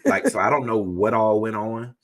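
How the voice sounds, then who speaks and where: A man with a deep voice hosting a casual chat, talking in a laid-back, rambling way. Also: moderate pace. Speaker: host.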